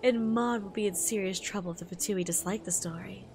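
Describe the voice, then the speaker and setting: A woman's voice: mid-range voice, a little fast, vocal fry, darkish tone, soft background music, natural voice. A woman reading out a sassy, nonchalant young male knight from a manga, in a teasing manner. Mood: dry, coaxing.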